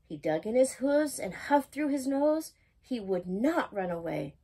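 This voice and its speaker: A woman reading a rhyming children's picture book aloud as a storytime host, with expressive, singsong emphasis. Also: on the high side, unhurried, slightly rough.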